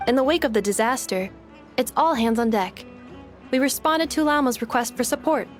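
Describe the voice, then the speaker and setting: Woman as highish, composed robot lady. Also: expressive, moderate pace, faint music behind, close to an everyday voice. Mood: serene, helpful. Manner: formal, precise, serene. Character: polite, kindly, composed female robot helper. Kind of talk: video game voice acting.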